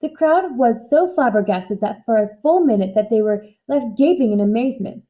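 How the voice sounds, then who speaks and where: High-pitched woman reading a storybook aloud. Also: animated, moderate pace, phone-quality sound. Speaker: storybook reader. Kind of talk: expressive read-aloud narration.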